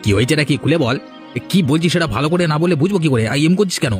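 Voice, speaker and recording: A man's voice: on the high side, expressive, rapid-fire, natural voice. A man voicing a hostile rival villager in a cartoon, curt and suspicious. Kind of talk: dubbed cartoon dialogue. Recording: music in the background.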